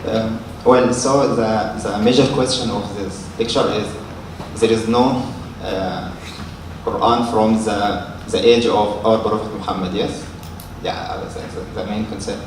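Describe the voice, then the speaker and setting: A man from Egypt with an Egyptian accent, a native Arabic speaker, arguing from the audience in halting, repetitive speech. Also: fairly low pitch, fairly even intonation. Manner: very halting, repeats words, seeks confirmation with okay and yes. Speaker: audience member asking a question. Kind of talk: unscripted question and argument from the floor. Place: large echoey lecture room. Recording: very echoey room, distant mic, faint noise.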